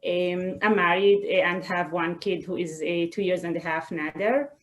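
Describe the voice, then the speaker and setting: A woman speaks on a webinar about herself. Her voice sits a little low. She talks haltingly, often pausing as she searches for the next phrase. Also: steady tone. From Israel. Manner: hesitant, halting delivery with frequent pauses. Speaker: guest speaker, doctor. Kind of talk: personal talk in a webinar. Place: online video call.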